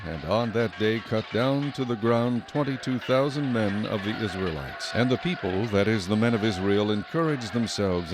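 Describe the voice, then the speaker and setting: A man giving solemn, measured scripted narration for a dramatized Bible recording. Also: lowish voice, noisy background.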